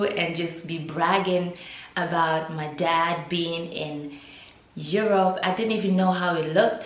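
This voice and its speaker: Young Nigerian woman, slow and hesitant storytelling vlogger, lowish voice, echoey room. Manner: slow, reflective, hesitant telling. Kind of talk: personal storytime.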